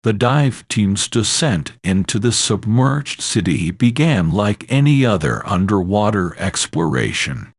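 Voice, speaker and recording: A male narrator delivers scripted horror in a low voice with an unhurried, very slow pace. His delivery is ominous and dramatic, with lively swings in pitch. The sound is close and dry. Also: dry recording.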